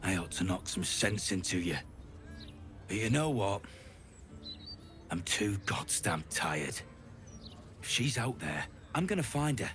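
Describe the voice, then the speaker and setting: A gruff, hot-tempered male mercenary from a fantasy game. His mid-pitched voice is forceful and swings widely, blunt and worn down, over faint music. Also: big pitch swings, measured pace, long pauses. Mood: weary, resolved. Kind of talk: video game character acting.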